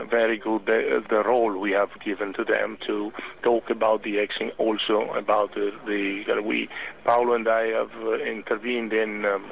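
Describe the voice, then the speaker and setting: A man with an Italian accent, a native Italian speaker, answering radio interview questions slowly as he searches for words. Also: on the low side, vocal fry, a bit bright, slight background noise, poor audio. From Italy. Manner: halting, searching for words in a second language. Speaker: hobby club official, interview guest.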